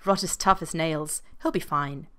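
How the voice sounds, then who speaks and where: A woman voicing a gentle young man who speaks softly and melodiously. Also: normal speed. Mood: tender. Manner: soft, low, melodious.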